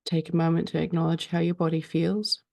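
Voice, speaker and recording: A woman guides a meditation in a calm, soothing manner. Her voice is mellow, with a heavy creak at its edges, and it sits very close and dry. She leaves frequent pauses between phrases. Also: deep voice, dry recording.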